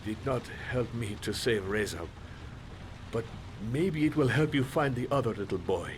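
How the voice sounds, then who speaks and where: An actor playing a grieving shopkeeper and father who speaks in a formal, halting, earnest way. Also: medium pitch, expressive, slight background noise, natural voice. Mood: sorrowful earnest. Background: game music.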